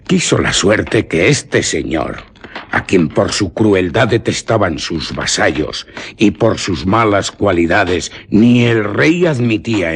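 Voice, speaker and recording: Deep, slow rustic storyteller. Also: male voice, husky, exaggerated voice. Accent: Spanish from Spain. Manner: grave, dramatic, deliberate storyteller. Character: rustic village guide telling an old cursed legend. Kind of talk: radio drama acting.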